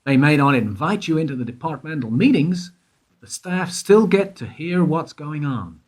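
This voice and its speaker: A man's voice: fairly high pitch, animated, normal speed, husky. A man acting in a radio play as a wry investigator, questioning in a probing, insistent way.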